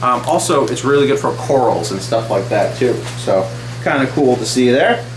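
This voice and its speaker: A man's voice: mid-range voice, lively intonation, normal speed, noisy background. Chatty man in an echoey room. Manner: chatty, enthusiastic, many fillers. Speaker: aquarium channel host. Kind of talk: casual vlog update. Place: small wood-paneled room indoors.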